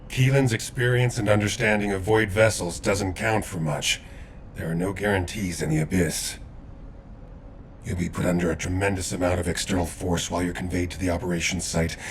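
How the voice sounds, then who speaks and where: Deep-voiced, grave seasoned warrior. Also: male voice, a little hiss or hum. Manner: measured, earnest, cautioning. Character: grave, seasoned male warrior, restrained and solemn. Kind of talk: video game voice acting.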